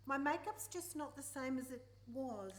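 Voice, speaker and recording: A woman's voice: sits high, expressive, normal speed, slight background noise. A woman acting a proud, flustered elderly country widow with a hesitant, fussy manner in a live radio play. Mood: meek, wistful. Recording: roomy, echoing live stage recording.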